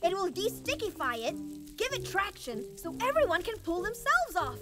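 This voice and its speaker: A headstrong young cartoon bug girl. Her voice is extremely high and animated, with a sassy, defensive edge, over loud music. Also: moderate pace. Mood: improvising. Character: headstrong, unsure young female bug driller.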